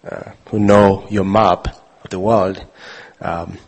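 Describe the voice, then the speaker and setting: A man from Uganda telling a personal story with many pauses, fillers and restarts. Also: low voice, level tone. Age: adult father. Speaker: speaker sharing his testimony. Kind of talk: personal testimony talk.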